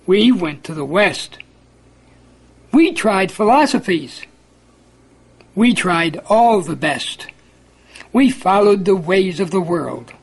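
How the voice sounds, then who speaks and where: A man reciting a religious poem in a measured, emphatic, sing-song way. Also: high-pitched, lively intonation, creaky, breathy edge, poor audio. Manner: measured, emphatic, sing-song alternation between contrasting lines.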